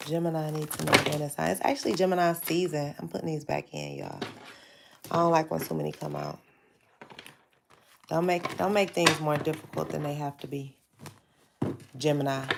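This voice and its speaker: Gravelly, stuffy-nosed woman reading tarot. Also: low-pitched, steady tone, moderate pace, pauses often, heavy background noise. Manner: chatty, addresses viewers directly. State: stuffy, nasal from sinus trouble. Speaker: tarot reader hosting a livestream. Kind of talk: casual live tarot reading.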